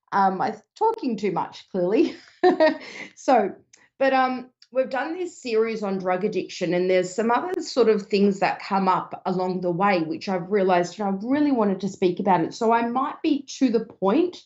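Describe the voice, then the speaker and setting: Hoarse woman hosting psychic radio. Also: mid-range voice, darkish tone. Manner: rambling, earnest, many fillers. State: slightly hoarse, losing her voice. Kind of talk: casual advice talk. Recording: dull lo-fi stream.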